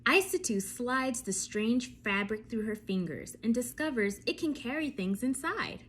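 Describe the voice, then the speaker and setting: A woman reading a bedtime story aloud for children, expressive and often turning to ask her young listeners questions. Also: on the high side, a little hiss or hum.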